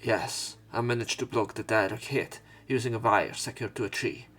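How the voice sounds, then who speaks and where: A man's voice: on the low side, moderate pace, fairly bright tone. A man hosting a let's play, reading visual novel narration aloud in a dramatic way, with commentary. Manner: acts out lines and exclamations.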